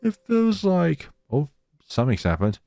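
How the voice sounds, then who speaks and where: A man's voice: a little high, wildly swooping pitch, measured pace, gravelly, mellow, no echo. A man hosting a gaming let's play, reading story text aloud dramatically and dropping in casual asides. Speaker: gaming channel host.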